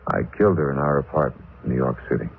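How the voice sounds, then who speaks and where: A man's voice: rumbling low, very fast, gravelly, husky, full character voice. An actor playing a tormented, guilt-ridden husband who killed his wife, in melodramatic old-time radio thriller style. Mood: weary, resigned. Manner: melodramatic, haunted. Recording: noisy muffled old radio recording.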